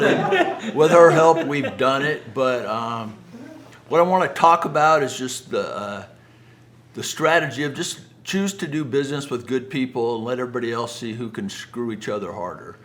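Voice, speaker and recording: A man's voice: mid-range voice, sharp tone. A middle-aged man telling business anecdotes in a conversational, folksy way, often acting out quoted dialogue. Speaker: speaker, home builder. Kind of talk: anecdotal business storytelling talk.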